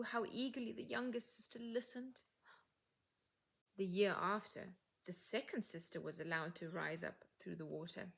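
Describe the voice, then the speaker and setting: A woman with a medium-pitched voice tells a fairytale in an expressive storytelling style. She reads very slowly and deliberately, shaping phrases dramatically and letting word endings fall soft and breathy. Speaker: English teacher reading stories.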